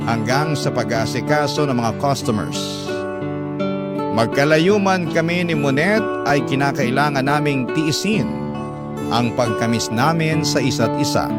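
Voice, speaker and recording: A man's voice: medium pitch, close to an everyday voice. A man playing a sincere husband and father, narrating his life story reflectively as scripted radio drama. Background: prominent plucked guitar music.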